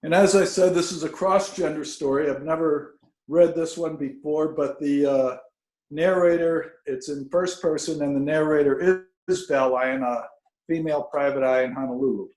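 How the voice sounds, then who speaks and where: A man, an author, speaking informally and haltingly to an online reading over a video call. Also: a little high, fairly even intonation, a little mellow. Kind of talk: informal spoken introduction and reading of own fiction. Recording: roomy, echoing video call audio.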